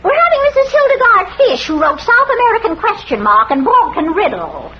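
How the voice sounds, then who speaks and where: Shrill, self-important housekeeper. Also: female voice, very animated, a little fast. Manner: self-important, fussy, eager. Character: proud, chatty, excitable housekeeper and club woman. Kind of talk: 1940s radio comedy-mystery acting. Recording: dull, hissy old radio recording.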